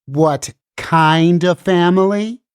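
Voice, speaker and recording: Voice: mid-range voice, slow, clean tone, dry recording, clean studio sound, natural voice. A performer playing an alarmed, bitter banned book in a musical puppet show, with dramatic, urgent, declamatory delivery. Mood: probing, dry. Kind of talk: theatrical musical puppet show acting with singing.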